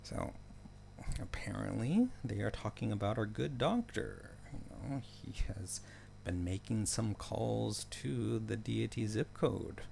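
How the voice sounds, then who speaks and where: A man's voice: fairly low pitch, animated, slow pace, vocal fry, dark tone, slight background noise. A man narrating a story recap in a casual, loosely scripted way, with wry joking asides.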